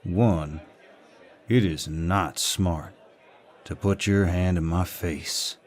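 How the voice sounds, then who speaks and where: Deep, slow-drawling Southern gentleman, acted by a man. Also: fairly even intonation, a faint crowd, exaggerated voice. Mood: calm, firm. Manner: polite, drawling, firm, old-fashioned courtesy. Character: protective, courteous, dominant Southern gentleman in a bar. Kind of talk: roleplay audio acting, intimate and scripted.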